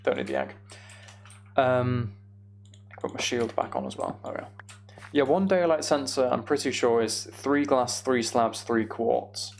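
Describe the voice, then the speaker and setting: Casual gravelly male game streamer. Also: mid-range voice, sing-song, unhurried, slight background noise. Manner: muttering to himself while working things out. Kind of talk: casual live gameplay commentary, thinking aloud.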